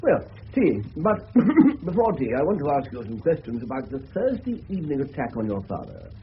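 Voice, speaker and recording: An actor playing a brisk, genial colonel with an upper-class English accent in a 1970s radio drama, hearty and formal. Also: male voice, mid-range voice, huge pitch swings. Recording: muffled, noisy old radio broadcast.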